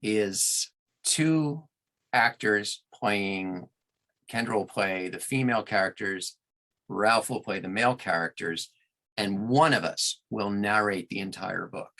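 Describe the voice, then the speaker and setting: Bright, forceful low-voiced man. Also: slow, low-quality recording. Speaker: interview guest, audiobook narrator and producer. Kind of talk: conversational explanation of craft.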